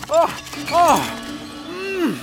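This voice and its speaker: An actor playing a pompous American gourmet traveller who moans and exclaims over food in a radio drama. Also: male voice, extremely high pitch, very animated, very slow, music in the background, full character voice. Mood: ecstatic. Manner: moaning, exclaiming with food-tasting delight.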